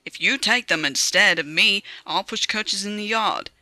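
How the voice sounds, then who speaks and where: A woman voicing a kind, gentle little engine in a storybook reading. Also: medium pitch, moderate pace, no room sound. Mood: kindly.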